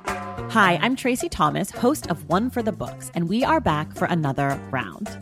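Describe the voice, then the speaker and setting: Woman host plugging an event, slightly low voice, scripted, music bed. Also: big pitch swings, normal speed. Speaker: show host.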